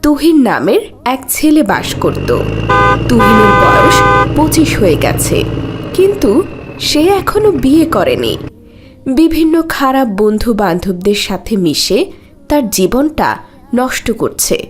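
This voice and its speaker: High, animated woman narrator over street noise. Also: measured pace. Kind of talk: scripted story narration.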